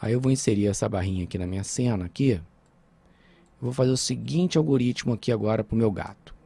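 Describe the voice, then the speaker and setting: A man's voice: fairly low pitch, fast talker, vocal fry, warm tone, breathy edge. A man with a Brazilian Portuguese accent teaching a programming lesson over a screen recording, talking informally and checking in with his students.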